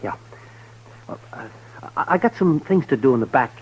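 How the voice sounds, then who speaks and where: Husky, stammering saloon bartender. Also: male voice, mid-range voice, animated, normal speed. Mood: nervous, hurried. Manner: hesitant, stammering. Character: flustered, timid saloon bartender, man. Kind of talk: 1950s western radio drama acting. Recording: muffled old radio recording.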